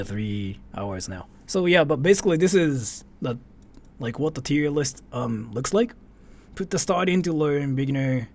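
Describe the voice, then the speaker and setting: Animated man streaming, fairly high voice. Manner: informal, switches between English and Japanese. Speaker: streamer, host. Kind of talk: casual livestream chat, ranking commentary.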